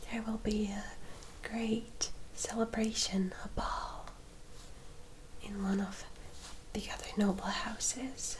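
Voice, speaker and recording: Woman playing a slow, whispering gentle vampire lady. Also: medium pitch, natural voice, low-quality recording. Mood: gentle, reassuring. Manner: soft, unhurried, formal and reassuring. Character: gentle, courteous female vampire guiding a newcomer. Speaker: ASMR roleplay performer. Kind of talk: whispered ASMR roleplay.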